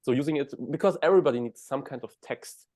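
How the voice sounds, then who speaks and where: A man with a German accent, speaking English as a second language, explains things in an interview. His voice sits at an ordinary middle pitch. He builds points step by step, often stopping and restarting words. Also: fast, darkish tone. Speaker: guest.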